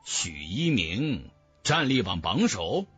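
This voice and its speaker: A man's voice: fairly low pitch, very animated, slow, fairly bright tone, slightly rough, dry recording, faint music behind, pitched below the performer's own voice, exaggerated voice. A man voicing a defiant, mocking young martial cultivator in a fantasy audiobook, with cool, taunting delivery. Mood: provocative. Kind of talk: dramatic fantasy audiobook dialogue.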